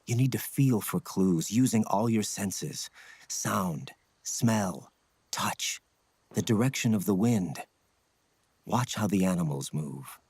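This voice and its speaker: An actor playing a stoic ninja scout mentor in a video game, speaking in a grave, measured, husky voice. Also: male voice, expressive, a little mellow, dry recording, exaggerated voice. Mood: solemn, instructive. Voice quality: low, husky. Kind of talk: dramatic video game acting.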